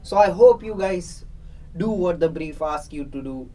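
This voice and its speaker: A man's voice: a little high, expressive, unhurried, dark tone. A man playing a flippant, sweary lab assistant in a radio play, talking in a casual, dismissive way. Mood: irreverent griping. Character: crude, flippant lab assistant briefing experiment volunteers. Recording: distant mic, faint background noise.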